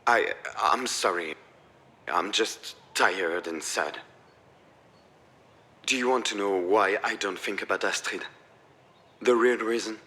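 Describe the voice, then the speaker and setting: A forceful, despairing grieving man. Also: lowish voice, takes it slowly, long pauses, full character voice. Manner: weary, wounded, emotional. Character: despairing grieving father, weary, bitter and self-blaming. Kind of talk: acted visual novel dialogue.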